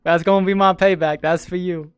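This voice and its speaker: A man's voice: high voice, steady tone, brisk, clear, resonant tone, dry recording. Chatty man streamer with a radio voice. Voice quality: voice people call made for radio and sales. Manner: chatty, laughs often, repeats himself. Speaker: streamer and podcast host. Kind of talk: casual livestream chat with viewers. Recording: dry close mic with faint music behind.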